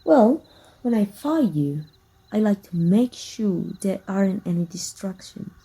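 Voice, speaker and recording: A woman acts out a possessive villainess speaking intimately to her rival in an ASMR roleplay. Her voice is very mellow and breathy, at a middling feminine pitch. She teases softly and deliberately, with jealous menace underneath. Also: very animated, exaggerated voice, poor audio. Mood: possessive, coolly menacing.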